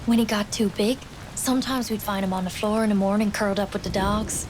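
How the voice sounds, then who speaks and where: A woman playing a young, grieving wartime nurse in a game drama, soft and wistful, with a Newfoundland accent. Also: mid-range voice, steady tone, brisk, background noise, lightly acted. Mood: tender, quiet. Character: grieving wartime nurse, sister of a fallen soldier. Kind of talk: dramatic game cutscene storytelling.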